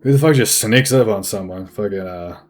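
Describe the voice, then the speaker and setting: Crass dead cartoon fish, male actor: strained throat, sarcastic, halting rambles, swearing, music behind. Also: on the low side, close to an everyday voice. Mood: irritated. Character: crass, rambling recently deceased fish, foul-mouthed and sarcastic. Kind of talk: loose improvised comic character acting. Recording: music under the voice.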